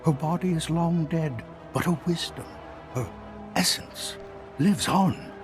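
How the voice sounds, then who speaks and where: A man playing an elderly, theatrical, wise Jedi master in a video game, with dramatic pauses and drawn-out mentor phrasing. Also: on the high side, music in the background. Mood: mystical, earnest.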